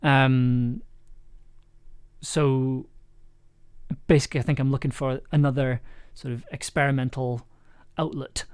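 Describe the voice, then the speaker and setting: A man chatting casually on a livestream as he works, enthusiastic but halting, repeating words and letting thoughts trail off. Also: mid-range voice, level tone, smooth. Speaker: audio drama maker livestreaming an editing session. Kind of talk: casual livestream chat while working.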